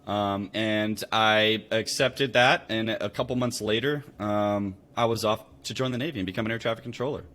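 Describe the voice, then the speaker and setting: Low-voiced American man chatting casually. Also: moderate pace, few pauses, a bit bright. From Louisville, Kentucky, United States. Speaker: podcast guest, real estate investor. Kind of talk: casual conversational storytelling.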